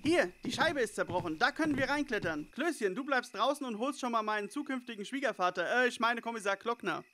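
A man's voice: extremely high pitch, animated, quick pace, bright, forceful tone, a little hiss or hum, exaggerated voice. A man playing an eager, nerdy teenage boy detective in an audio drama parody. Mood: urgent.

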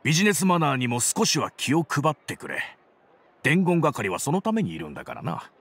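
A man voicing a wary businessman in an anime game, speaking in a formal, measured, wry manner. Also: fairly high pitch, sing-song, a bit bright, slightly rough, no room sound, exaggerated voice. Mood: wryly amused. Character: wry, wary businessman, long-time trading partner. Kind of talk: Japanese anime game voice acting.

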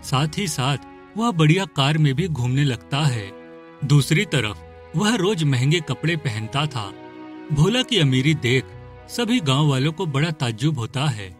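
A male narrator tells a scripted cartoon tale. His voice is a little higher than average, and music plays behind him. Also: lively intonation, unhurried.

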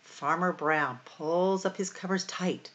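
A middle-aged woman hosting a library storytime, reading picture books aloud in a deliberate, expressive way for young children. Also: fairly low pitch, dull audio. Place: small room at home or office, indoors.

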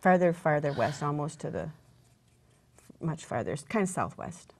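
A rambling, chatty woman in her fifties with a voice set low for a woman. She talks through a craft demonstration on a studio set, trips over her words and restarts them, and laughs at herself along the way, picked up by a clip-on mic. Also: expressive, moderate pace, vocal fry, darkish tone. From rural Wisconsin, USA. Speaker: guest craft presenter. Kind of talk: casual chat while demonstrating a craft.

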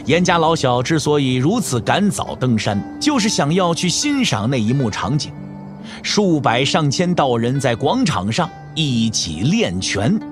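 A man narrating an audio drama from a script in an expressive storytelling manner. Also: mid-range voice, unhurried, over music.

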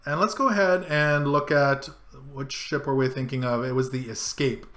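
Bright-voiced man with casual on-screen walkthrough. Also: medium pitch, steady tone, normal speed, echoey room. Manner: casual, walks through clicks and zooms step by step. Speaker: travel vlogger. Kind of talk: screen-share tutorial. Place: home room, indoors.

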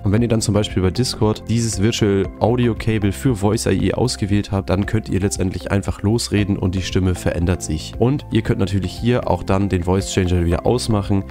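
A man narrating a software tutorial in an informal, explanatory way. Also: low-pitched. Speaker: YouTube tutorial host. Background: background music.

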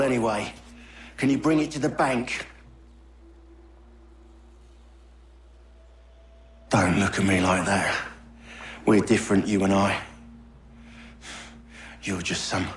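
Man acting a dying, slow-drawling mercenary scoundrel. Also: on the low side, close to an everyday voice. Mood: scornful. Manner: coarse, sardonic, labored drawl. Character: dying cynical mercenary scoundrel, coarse and greedy. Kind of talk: video game cutscene acting.

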